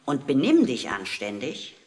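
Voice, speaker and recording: Lively, fussing mother. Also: rumbling low, unhurried, exaggerated voice. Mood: fussing, caring. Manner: admonishing, instructing, motherly. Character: caring, fussing working-class mother of a schoolboy. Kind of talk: 1960s German radio play acting. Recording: vintage record with background noise.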